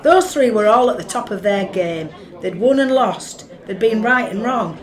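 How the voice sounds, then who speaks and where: A woman's voice: mid-range voice, expressive, normal speed, close to an everyday voice. A woman with a Northern English accent acting in a radio play as a blunt, level-headed, no-nonsense sceptic. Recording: echoey room.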